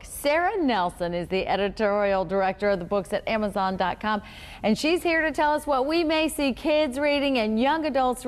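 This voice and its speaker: A woman's voice: a little high, big-room sound. A woman hosting a radio interview, asking questions in a conversational broadcast style.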